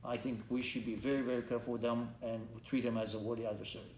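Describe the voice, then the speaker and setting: A man's voice: mid-range voice, flat delivery, darkish tone. Romanian-born man in an echoey room. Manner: earnest, halting, many restarts. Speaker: congressional candidate. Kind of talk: interview answers. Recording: echoey room, faint background noise.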